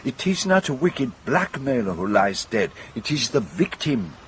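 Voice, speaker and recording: A shrewd detective in a radio mystery play. He thinks aloud with precise, measured phrasing and a very mobile intonation, over faint background noise. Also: mid-range voice, very animated. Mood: musing, deliberate. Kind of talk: radio mystery drama acting.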